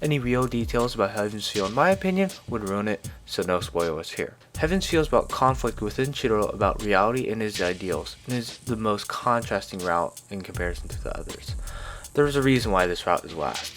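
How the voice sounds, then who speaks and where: A man's voice: lowish voice, lively intonation, fairly quick, a bit bright. Man narrating an informal review over music. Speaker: video reviewer. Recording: music behind the voice. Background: background music.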